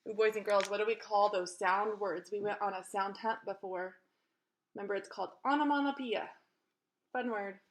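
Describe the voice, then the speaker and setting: A woman's voice: medium pitch, fairly even intonation, moderate pace. A woman chatting to young children as a co-reader, prompting them in a teacherly way. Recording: faint background noise.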